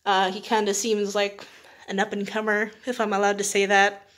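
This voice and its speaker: A woman's voice: mid-range voice, monotone, moderate pace, a bit bright. A young woman chatting casually as she reviews books, rambling in an informal, self-deprecating way with small laughs. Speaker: book reviewer, video host.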